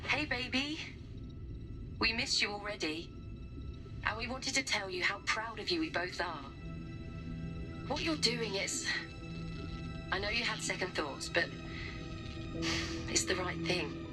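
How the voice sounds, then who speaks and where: A woman's voice: mid-range voice, lively intonation, unhurried, long pauses, lightly acted. An actress playing a loving, supportive wife and mother leaving a tender, earnest recorded message in a sci-fi game. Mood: tender, wistful. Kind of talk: cinematic sci-fi game acting. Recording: loud music behind recorded message.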